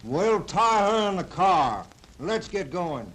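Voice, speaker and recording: Bossy outlaw leader, noisy old radio. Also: male voice, high voice, very animated, takes it slowly, fairly bright tone, heavily acted. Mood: curt, menacing. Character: bossy, ruthless western outlaw gang leader. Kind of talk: 1930s radio drama acting. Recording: noisy old 1930s film soundtrack.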